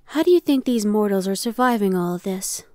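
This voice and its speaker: A woman's voice: mid-range voice, animated, fairly quick, mellow, no echo, natural voice. A woman voicing an impatient, sardonic female demon scout in an ASMR roleplay, talking in a conversational, dismissive way. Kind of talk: ASMR roleplay, one-sided dialogue.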